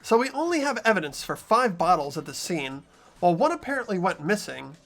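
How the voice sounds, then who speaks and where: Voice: medium pitch, very animated, a little fast, a bit bright, soft background music. A performer voicing an earnest teenage boy investigator who argues through the evidence in a fan dub of a game. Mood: reasoning.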